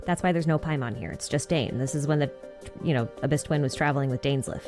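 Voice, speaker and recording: A woman's voice: sits low, fast, music in the background. A woman reading conversational scripted narration for a game recap, with wry, informal asides.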